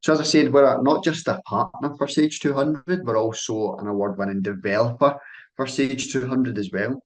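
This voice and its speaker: A man's voice: medium pitch, normal speed. A young Scottish man, an account manager, presenting a sales webinar over a call in a friendly, loose style with frequent ums and restarts. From Scotland.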